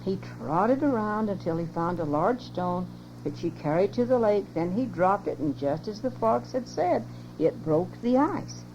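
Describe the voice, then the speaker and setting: A woman's voice: medium pitch, expressive, a little slow. Older woman reading a bedtime story. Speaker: grandmother reading to family. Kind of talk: reading a children's story aloud. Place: home. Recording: lo-fi home cassette tape with hum.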